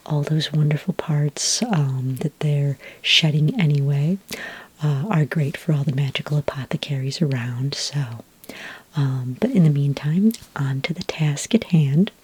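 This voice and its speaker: A woman playing a patient, helpful dragonmaster in a soft-spoken ASMR roleplay, whispering breathily and explaining gently and hesitantly up close to the microphone. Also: low voice, breathy edge. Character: patient, helpful female dragonmaster giving business advice. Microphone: close microphone in a dry space.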